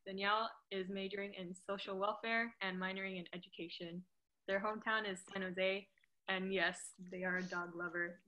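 A woman's voice: mid-range voice, steady tone, normal speed, pushed and bright, muffled recording. A young woman casually presenting and reading introductions on a video call. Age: young adult. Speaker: presenter at an online graduation.

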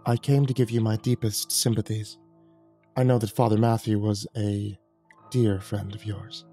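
A gentle, solemn male archangel, voiced by a male storyteller, who speaks softly and calmly. The tone is dark and mellow. It sounds like a line from a spoken horror tale. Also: fairly low pitch, normal speed, faint music behind, voice pushed down, close to an everyday voice. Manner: soft and calm.

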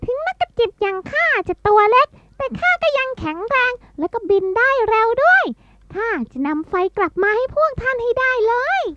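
A small brave bird in a children's tale. The voice is very high and bright, earnest and childlike. The recording is slightly dull. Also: female voice, sing-song, a little fast, no room sound, exaggerated voice. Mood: eager, brave. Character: tiny brave young wren, eager and selfless. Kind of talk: acted children's storybook.

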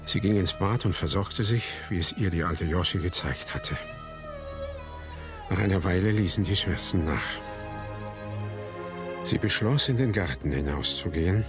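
An actor playing a grave son who narrates his family's history in a radio drama, sombre and deliberate. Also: low voice, animated, music in the background. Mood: reflective.

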